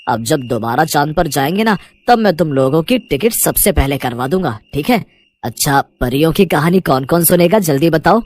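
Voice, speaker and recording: A man's voice: fairly high pitch, animated, normal speed, darkish tone, lightly acted. A man playing a poor, kindly rickshaw-puller father in a cartoon moral story, polite and reassuring.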